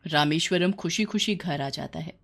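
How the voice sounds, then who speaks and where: A woman's voice: low-pitched, moderate pace. A woman narrating a scripted moral story for children as its storyteller.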